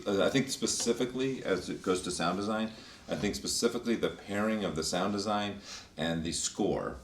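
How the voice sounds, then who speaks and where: Low voiced guy thinking out loud, kinda far away, echoey room w some hiss. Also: a little fast. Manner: thoughtful, searching for words. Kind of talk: casual chat. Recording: roomy echo, distant mic, faint noise.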